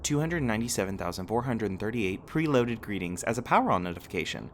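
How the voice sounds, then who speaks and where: A low-voiced, cheerful robot companion acted by a man with very animated intonation. He is polite and literal, phrasing everything formally and precisely as in a sci-fi audio roleplay. Faint ambient noise sits behind him. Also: fast. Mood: cheerful, helpful. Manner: formal, precise, over-explaining wording. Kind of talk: scripted ASMR sci-fi roleplay.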